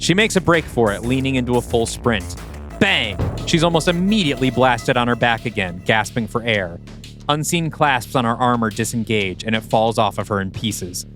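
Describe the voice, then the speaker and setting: A man's voice: medium pitch, expressive, moderate pace, a bit bright. Man narrating a screenplay over music. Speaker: podcast host and script narrator.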